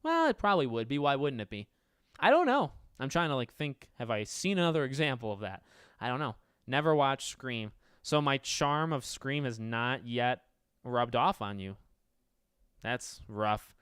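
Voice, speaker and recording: A man hosting a casual livestream Q&A, reading out viewer questions and answering with a raspy voice and a rambling, self-correcting manner. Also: mid-range voice, big pitch swings, normal speed, fairly bright tone, no echo, clean studio sound.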